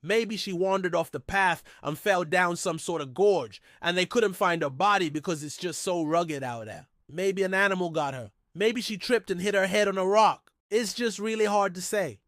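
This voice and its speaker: A man's voice: high voice, moderate pace, hardly any pauses, fairly bright tone, no echo, clean studio sound. A man hosting a mystery storytelling show in a conversational, informal and musing way, often posing rhetorical questions. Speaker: host of a mystery storytelling channel.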